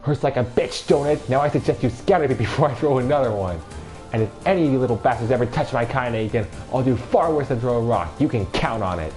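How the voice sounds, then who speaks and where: A man's voice: mid-range voice, steady tone, moderate pace, warm tone, soft background music, pitched above the performer's own voice, close to an everyday voice. A man voicing a fierce, tiny old grandmother who talks coarse and blunt. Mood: enraged. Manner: coarse, rough-spoken and scolding.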